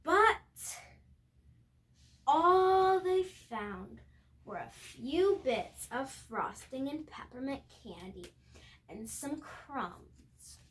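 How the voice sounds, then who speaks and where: A young girl reading a picture book aloud expressively, with halting moments and chatty asides to her viewers. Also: high-pitched, animated, a bit bright, rough recording. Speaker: storybook reader.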